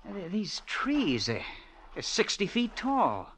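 An actor playing an earnest, awestruck young man with a halting, wondering manner, both acting and narrating in 1940s radio drama style. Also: high-pitched, wildly swooping pitch, fairly bright tone, a little hiss or hum, heavily acted. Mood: curious, puzzled. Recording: vintage radio drama with music.

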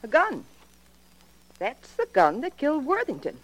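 A nervy wife in an old radio mystery. Her delivery swings widely in pitch as she probes and presses, dramatic and insistent in every phrase. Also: huge pitch swings, unhurried, heavily acted, rough recording. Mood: nervous, curious. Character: murderer's anxious, probing, admiring wife. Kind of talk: 1940s radio crime drama acting.

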